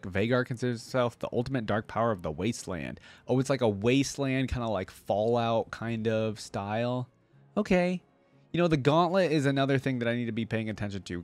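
A man's voice: medium pitch, dry recording. Chatty man with a dry, close sound. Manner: chatty, informal, thinking aloud. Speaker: reaction video creator. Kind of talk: casual reaction commentary. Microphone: close desk microphone with headset.

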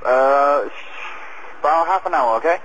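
A man handles a takeaway order by phone. His voice is fairly high. There is faint noise on the line behind him. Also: measured pace, a bit bright. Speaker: takeaway worker taking orders. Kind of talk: business phone call. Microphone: telephone. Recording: phone call with background noise.

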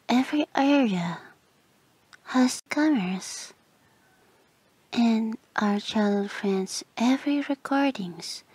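A woman voicing an obsessive, sweetly menacing yandere childhood friend in an ASMR roleplay, drawn-out and teasing, slipping into breathy whispers. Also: fairly high pitch, darkish tone, no echo, full character voice, low-quality recording.

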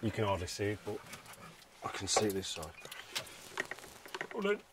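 A man's voice: on the low side, sing-song, moderate pace, very noisy. A British man giving a casual hands-on how-to commentary, informally thinking aloud as he works. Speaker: DIY vehicle vlogger.

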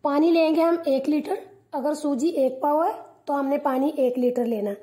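Woman cooking-channel host, high pitch, warm mellow tone, calm step-by-step tutorial voice-over. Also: normal speed.

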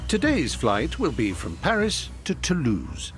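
Animated male documentary narrator. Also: medium pitch, measured pace. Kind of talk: scripted narration. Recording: voice-over with music behind.

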